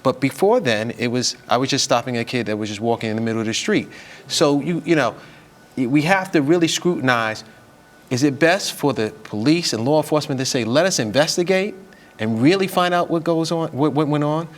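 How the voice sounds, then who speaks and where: A man's voice: medium pitch, fairly quick. A man speaking as an attorney guest on a studio panel, arguing with repetition and rhetorical questions. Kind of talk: televised panel commentary. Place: television studio.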